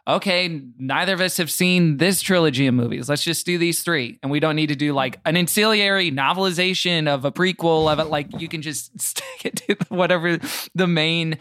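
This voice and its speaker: A man chatting casually as a podcast guest, with an animated, teasing manner. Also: fairly high pitch, fairly quick, few pauses, dry recording.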